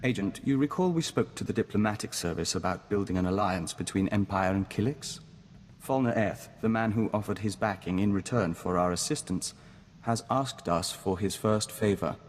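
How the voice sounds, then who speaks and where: An actor playing a calm, dutiful diplomat bound to a hive mind, speaking formally in video game dialogue. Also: male voice, on the low side, long reverb tail, a little hiss or hum, natural voice. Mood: calm, polite. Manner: formal, measured, speaks of himself as we.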